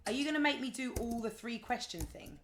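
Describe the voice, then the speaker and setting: A woman's voice: on the high side, expressive, a little fast, background noise. A woman playing a patient, probing confidante in a naturalistic audio play, wry and questioning. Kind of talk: naturalistic audio drama acting.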